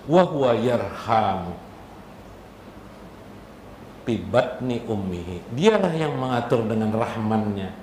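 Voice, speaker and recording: A man's voice: lowish voice, expressive, takes it slowly, pauses often, darkish tone. A man with a Banjar accent giving an informal, jocular Islamic sermon, conversational and full of rhetorical questions. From South Kalimantan, Indonesia. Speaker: Islamic preacher. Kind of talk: informal sermon with jokes, mixing local speech and Arabic phrases. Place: indoor religious gathering. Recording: echoey room with faint background noise.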